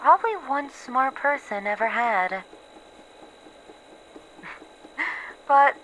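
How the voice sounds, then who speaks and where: A woman playing a guilt-ridden research scientist who records secret logs in a horror ASMR audio drama, speaking haltingly and repeating herself. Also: high-pitched, animated, fairly bright tone, slight background noise, rough recording. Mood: weary doubt.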